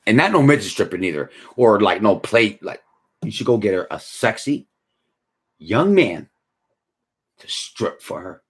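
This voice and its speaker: A tired man in his forties talks loosely through a live stream, his husky voice swinging up and down as he reads out comments. He sounds laid-back and slangy, yawning through it all. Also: lowish voice, a little slow, long pauses. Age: 40s. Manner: laid-back slangy, drifts from mumbling to excited. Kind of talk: casual live chat reading comments.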